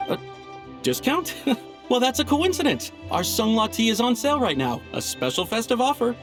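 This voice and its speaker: A man's voice: very high-pitched, sing-song, moderate pace. A man voicing an obsequious tea shop merchant in a video game, with polite sales patter that slips into stammering. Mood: oily, salesmanlike. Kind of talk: video game character acting. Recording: music under the dialogue.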